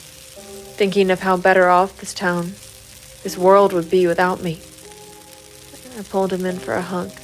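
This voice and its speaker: Brooding, even-toned female private eye, played by an actress. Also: medium pitch, natural voice, rough recording. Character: hard-bitten female private investigator, brooding, protective loner. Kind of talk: noir first-person narration and audio drama dialogue. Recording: music behind the voice. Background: music.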